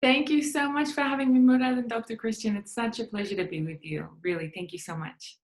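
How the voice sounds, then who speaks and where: An Australian woman speaking as an interview guest, expressive and emphatic in a conversational style of storytelling and advice. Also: a little high, fairly quick.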